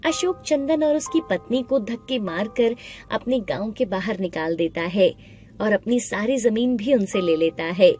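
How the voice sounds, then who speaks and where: A woman narrating a scripted animated story, with music playing behind her voice. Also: mid-range voice, measured pace. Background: background music.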